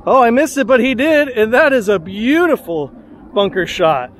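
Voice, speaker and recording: A man's voice: extremely high pitch, animated, moderate pace, slight background noise. A man bantering on a golf course, upbeat and full of exclamations. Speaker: golf vlogger.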